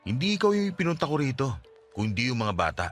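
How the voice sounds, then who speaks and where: A man's voice: medium pitch, sing-song, fast, fairly bright tone, faint music behind. A man playing a hurt, jealous estranged husband and father in a radio drama, earnest and insistent. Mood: firm. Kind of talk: Tagalog radio drama acting.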